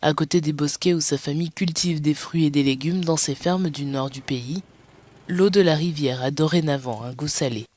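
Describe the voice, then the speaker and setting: A reporter reading a news story as voice-over in a steady way. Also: medium pitch, normal speed, dry recording. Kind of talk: voice-over narration.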